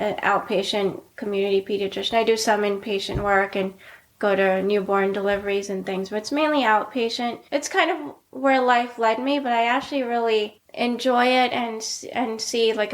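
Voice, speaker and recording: Woman, middle-aged, soft-spoken, narrow pitch, casual chat, filler words. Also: moderate pace. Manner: soft-spoken, many "like" fillers, steady even delivery. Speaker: podcast co-host, pediatrician.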